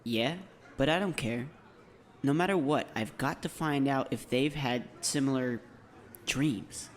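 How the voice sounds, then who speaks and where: A man's voice: mid-range voice, measured pace, slight background noise, lightly acted. A man playing an earnest, anxious schoolboy hero in an audiobook drama. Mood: determined.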